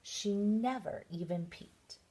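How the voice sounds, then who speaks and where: A woman teacher reading a picture book aloud to young children in an expressive, deliberate storytelling manner. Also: mid-range voice.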